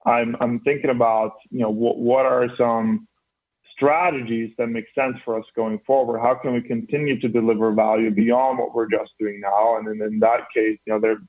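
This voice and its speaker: A man's voice: mid-range voice, fairly even intonation, moderate pace. A man in his thirties from Norway with a faint Norwegian accent, a startup founder answering interview questions earnestly, with many restarts. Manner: earnest, with frequent restarts and fillers. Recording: band-limited phone line.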